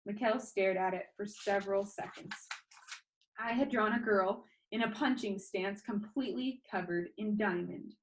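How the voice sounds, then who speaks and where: A woman narrating a children's novel in a storybook-reading style, with expressive delivery. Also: mid-range voice, normal speed, poor audio. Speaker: storybook reader.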